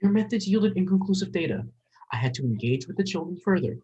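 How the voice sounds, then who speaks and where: A man acts an eager young researcher in a dramatic reading. The delivery is nervous and defensive, with lively swings in pitch. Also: fast, highs cut off.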